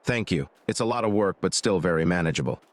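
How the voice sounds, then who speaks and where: A man's voice: sits low, animated, fast talker. A man voicing a friendly neighbor farmer in a farming game, easygoing and welcoming.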